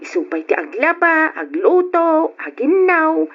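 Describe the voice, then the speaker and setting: A woman's voice: sits high, very animated, unhurried. A woman playing a chatty middle-aged mother in an old Ilocano radio drama, with an Ilocano accent. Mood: admiring. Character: doting middle-aged mother, admiring, grumbles about husband. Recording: dull lo-fi radio recording.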